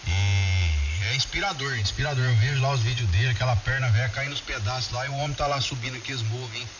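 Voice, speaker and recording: A man's voice: medium pitch, fast. Brazilian man chatting over noisy ham radio. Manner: colloquial, addresses friends by name. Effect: shortwave ham radio transmission. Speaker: amateur radio operator in a group contact. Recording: muffled, hissy, very noisy shortwave radio.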